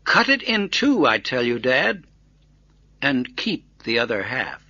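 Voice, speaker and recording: An earnest young storybook boy, acted by a male narrator, bold and pointed. The delivery is animated and forceful, with deliberate insistence, on a dry, slightly dull recording. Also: mid-range voice, dry recording, full character voice. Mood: insistent.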